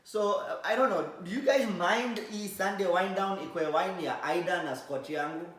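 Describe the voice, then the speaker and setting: A man's voice: high-pitched, moderate pace, a bit bright. A man with a Kenyan accent co-hosting a podcast, bantering casually and switching between languages. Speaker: podcast co-host and DJ. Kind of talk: casual bilingual chat, reading viewer comments. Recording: echoey room.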